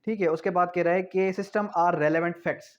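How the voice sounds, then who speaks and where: Law lecturer, male, notably high register, methodical and repetitive teaching delivery, slightly muffled low-fidelity sound. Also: steady tone, unhurried. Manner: repetitive, explanatory, asks and answers own questions. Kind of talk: lecture explaining statute with examples.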